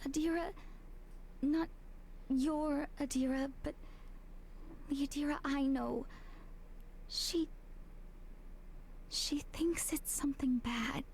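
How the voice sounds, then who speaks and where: A woman acting a hesitant, troubled young female protagonist in a visual novel, speaking in a halting, tentative way. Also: sits high, steady tone, a little mellow, slight background noise. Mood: troubled, confused. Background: game music.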